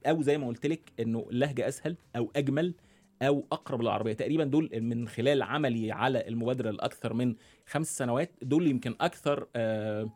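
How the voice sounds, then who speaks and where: Egyptian guy talking fast in an interview, lots of uh and restarts, normal pitch. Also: darkish tone. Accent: Egyptian Arabic. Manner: halting, many restarts and fillers. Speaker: guest, founder of a dialect initiative. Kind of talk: reflective interview talk.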